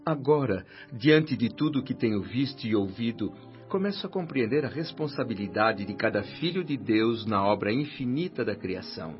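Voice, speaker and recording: An actor playing a humble, earnest former doctor in a radio drama, speaking formally and solemnly. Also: male voice, medium pitch, lively intonation, moderate pace, natural voice. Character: humble, earnest former doctor, newly arrived spirit, narrating his afterlife. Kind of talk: radio drama acting and first-person narration. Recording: dull lo-fi radio drama with music.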